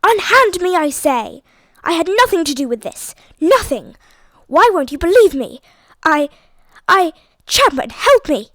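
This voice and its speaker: A woman reading an audiobook, voicing a blustering aristocratic older man with a loud, outraged bellow. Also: extremely high pitch, big pitch swings, unhurried, dry recording, pitched above the performer's own voice, heavily acted.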